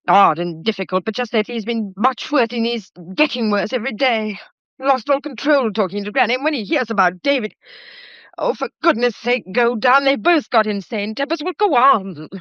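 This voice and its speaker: An actress playing a fretful, nervy wife in a period drama, complaining and accusing in a melodramatic way. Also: female voice, medium pitch, a bit bright, dry recording, muffled recording, close to an everyday voice. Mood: weary, nervy. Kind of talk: period radio drama acting.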